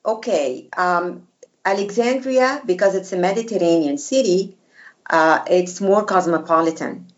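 A woman from Egypt with an Egyptian accent, speaking English as a second language, a former diplomat answering interview questions in a measured, hesitant way. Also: fairly low pitch, dull audio. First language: Arabic.

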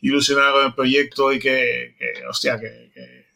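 A man's voice: medium pitch, flat delivery, moderate pace, creaky, bright, forceful tone. A man with a Castilian Spanish accent from Spain, chatting casually and enthusiastically in a group, often repeating his phrases. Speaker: audio fiction project member.

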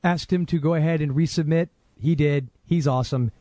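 A man's voice: on the high side, fairly even intonation, mellow, dry recording. A man co-hosting a podcast, chatting casually and jokily as he reads listener feedback. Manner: jokey, self-interrupting, quick to laugh. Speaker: podcast co-host.

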